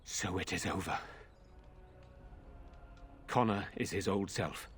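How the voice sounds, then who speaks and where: An actor playing a grave, measured nobleman in a fantasy game, speaking formally with a husky voice. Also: sits low, fairly bright tone, exaggerated voice. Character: grave, measured nobleman ruling in his brother's stead.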